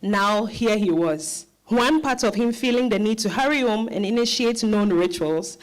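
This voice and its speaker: A woman's voice: medium pitch, moderate pace, poor audio. A woman reading scripted literary narration.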